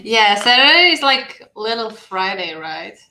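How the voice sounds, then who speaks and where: A woman's voice: medium pitch, big pitch swings, measured pace, bright, forceful tone, reverberant, rough recording. A woman from Slovakia with a Slovak accent, chatting casually and laughing easily as a guest artist. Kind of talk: casual chat.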